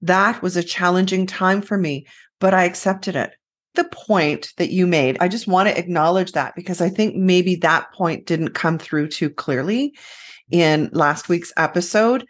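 Woman, slightly low pitch, confident, emphatic, recruiting podcast co-host. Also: a little slow. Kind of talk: casual conversation about recruiting.

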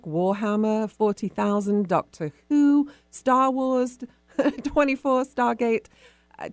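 A woman's voice: medium pitch, darkish tone, clean tone, no echo. A woman hosting a radio talk show in a conversational interviewing style.

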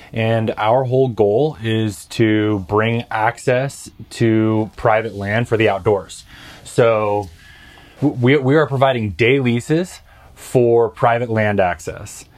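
A man's voice: fairly low pitch, darkish tone. A man, a company co-founder, talking as a podcast guest in a friendly way, often repeating words as he explains. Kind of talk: casual interview.

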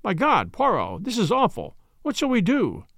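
A man voicing an earnest, excitable gentleman, a detective's sidekick, in an exclaiming manner. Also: high-pitched, moderate pace, no echo, clean studio sound, pitched above the performer's own voice, full character voice. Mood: alarmed. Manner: alarmed, exclaiming.

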